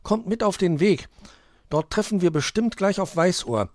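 A man's voice: high voice, expressive, normal speed, darkish tone, no room sound, studio quality, pitched above the performer's own voice. A man voicing an earnest, sensible young animal detective in a children's story, explaining things reasonably. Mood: eager.

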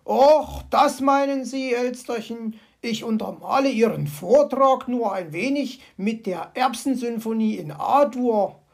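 A cheeky, good-humored male fox from a storybook, as a woman reading aloud puts him on, teasing and playful in a slightly raised voice. The tone is gravelly and a touch raspy. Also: a little high, measured pace, few pauses, darkish tone, pitched above the performer's own voice. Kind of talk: storybook character voice.

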